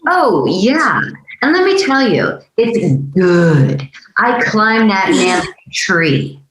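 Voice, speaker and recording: An actress playing a brazen, sarcastic movie star's wife with a blunt, dry manner in a scripted table read. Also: female voice, medium pitch, very animated, extremely slow, noisy background, exaggerated voice. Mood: scornful, smug. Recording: roomy, echoing sound.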